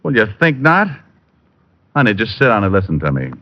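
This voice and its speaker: Low-voiced wisecracking radio private eye. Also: male voice, huge pitch swings, a little fast, over loud music. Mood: teasing. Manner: wry, hard-boiled, wisecracking. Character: wisecracking private detective, cool and wry. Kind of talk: 1940s-50s radio detective narration and dialogue. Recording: muffled old radio recording.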